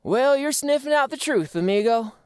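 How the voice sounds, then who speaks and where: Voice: high-pitched, expressive, normal speed, clean tone, no room sound, clean studio sound. An actor playing a friendly, slangy cartoon dude with a breezy, exuberant delivery. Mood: breezy, suspicious. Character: slangy, hyped-up American dude stereotype, friendly and patriotic. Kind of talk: scripted character dialogue.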